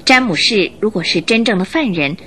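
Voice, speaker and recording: A woman narrator telling a radio drama story in an expressive, scripted and dramatic style, building suspense. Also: medium pitch, fairly quick, slight background noise. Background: music.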